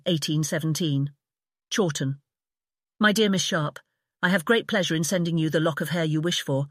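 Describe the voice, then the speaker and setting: A woman's voice: fairly low pitch, a bit bright, no echo. Bereaved Regency sister played by a woman, audio drama letter reading. Genteel, tender, formal and composed, close dry sound.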